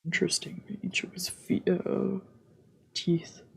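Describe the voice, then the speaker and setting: A woman streamer with a soft-spoken, subdued manner, narrating a visual novel aloud during a let's play. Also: on the low side, little pitch movement, takes it slowly, creaky, slightly rough, in a whisper. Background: game music.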